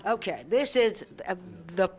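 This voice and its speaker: A woman's voice: mid-range voice, sing-song. A woman from Georgia, hosting a birthday gathering and chatting and joking as she asks people their names. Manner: chatty, teasing, quick to joke. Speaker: event host at a senior birthday gathering. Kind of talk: casual emcee chat, asking names.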